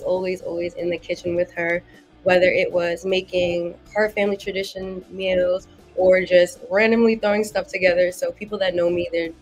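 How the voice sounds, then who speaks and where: A young woman from Massachusetts with a slightly low voice talks as a podcast guest. She tells personal stories casually, in an informal, wordy way, correcting herself as she goes. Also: rough recording. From Massachusetts, United States. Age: young adult. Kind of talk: casual personal storytelling in an interview.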